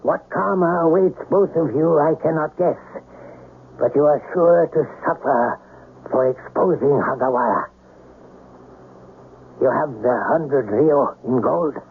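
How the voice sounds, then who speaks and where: A stern, accusing doctor in an old Japanese tale on the radio. The voice is high for a man and rough with gravel. He declaims forcefully through a muffled, narrow old broadcast. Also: a little slow, long pauses, a little hiss or hum. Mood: stern, threatening. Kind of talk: 1970s radio drama acting.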